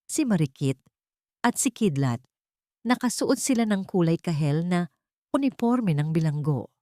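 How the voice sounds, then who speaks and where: A woman's voice: mid-range voice, a little mellow, no room sound, clean studio sound. A woman narrating a scripted audio drama in an earnest, dramatic storytelling manner.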